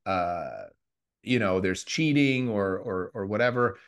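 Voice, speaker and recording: A man in his fifties from Switzerland, co-hosting a podcast in a reflective, halting way with many restarts. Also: lowish voice, clear, resonant tone. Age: 50s. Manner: reflective, restarts often, lots of fillers. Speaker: podcast co-host. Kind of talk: casual conversational podcast.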